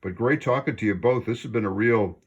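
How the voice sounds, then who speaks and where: A man's voice: medium pitch, a little fast, slightly rough, muffled recording. A man talking casually as an amateur radio operator during an on-air contact.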